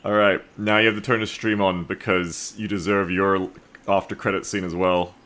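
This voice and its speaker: A man's voice: deep voice, little pitch movement, moderate pace, a bit bright. A man chatting casually as a game streamer, blunt and joking with some swearing.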